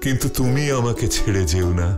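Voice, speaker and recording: A man voicing a gentle, lovelorn enchanted beast in a cartoon fairy tale, speaking slowly and earnestly. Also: medium pitch, lightly acted. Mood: relieved, loving. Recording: music behind the voice.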